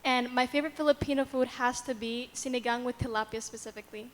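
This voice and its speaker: Teen female panelist, higher voice, casual chat in a roomy space. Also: fairly even intonation, quick pace. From New Jersey, United States. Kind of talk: casual roundtable talk. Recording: reverberant room with faint ambient noise.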